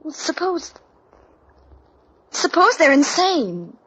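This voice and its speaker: A high, fluttering voice for an anxious young American wife, nervous and pleading. It has the dull sound of vintage radio drama. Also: female voice, very animated, slow pace, a bit bright, exaggerated voice. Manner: nervous, hesitant, urgent. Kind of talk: old-time radio drama acting. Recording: dull old radio recording.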